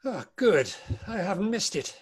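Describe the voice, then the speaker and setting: A man acts out an old British miser in a dramatic reading. The voice sits high for a man. He is anguished and repentant, with a theatrical, pleading delivery. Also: very animated, moderate pace, breathy edge, heavily acted. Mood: joyful. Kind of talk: dramatic table read.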